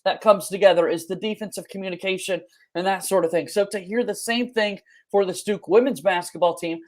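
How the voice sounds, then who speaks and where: A man's voice: sits high, fairly even intonation, a little fast. A man hosting a sports podcast, talking in a polished, upbeat, announcer-like way as he introduces and interviews.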